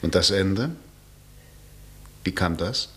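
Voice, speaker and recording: An actor playing a sober male interrogator who questions in a measured, formal, insistent way, in 1960 radio drama style. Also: low voice, a bit bright. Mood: probing. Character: sober, probing male interrogator hearing a confession.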